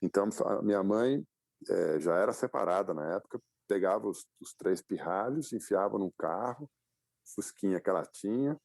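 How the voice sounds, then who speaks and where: Deep gravelly-voiced man in his fifties. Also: expressive, moderate pace, a little mellow, no echo, clean studio sound. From Brazil. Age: 50s. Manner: reflective, many fillers and restarts. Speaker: guest, publisher and adventurer. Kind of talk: casual interview storytelling.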